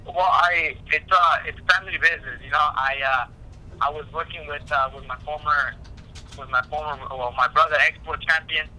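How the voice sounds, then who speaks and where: Man, very bright tone, slightly high pitch, phone interviewee, casual, halting, repetitive phrasing. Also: fairly even intonation. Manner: halting, repetitive, frequent "you know". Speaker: professional boxer being interviewed. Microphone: telephone call. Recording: tinny phone line.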